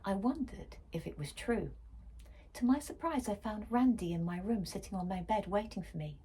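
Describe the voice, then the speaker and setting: A woman's voice: mid-range voice, animated, normal speed, dark tone, slightly rough. A woman reading a children's story aloud expressively, as for a school library.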